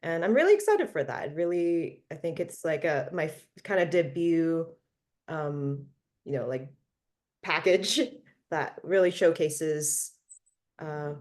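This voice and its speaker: A woman's voice: sits low, lively intonation, takes it slowly, darkish tone. A young woman from Malaysia, a singer-songwriter being interviewed, answering casually with many fillers and restarts. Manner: hesitant, many fillers and restarts, laughs often.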